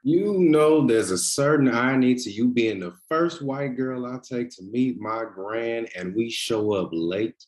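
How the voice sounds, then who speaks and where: A man's voice: medium pitch, measured pace, hardly any pauses, a little mellow, close to an everyday voice. A man reading the part of a charismatic boyfriend in his early thirties at a screenplay table read, casual and dryly teasing. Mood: wry teasing. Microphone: video call.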